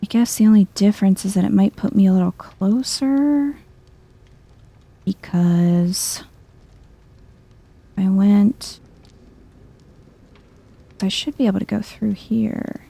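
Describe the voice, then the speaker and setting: A soft-spoken woman giving hushed, chill ASMR-style video game commentary, thinking aloud to herself. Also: mid-range voice, slow pace, creaky, long pauses, no room sound.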